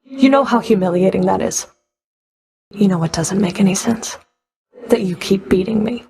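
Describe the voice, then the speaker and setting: An actress playing a stern, sardonic underworld Fury overseer in a video game, curt and commanding, with a gravelly, creaky voice. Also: female voice, mid-range voice, moderate pace, mellow, natural voice. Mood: cold, grudging. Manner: curt, dry, commanding, grudging.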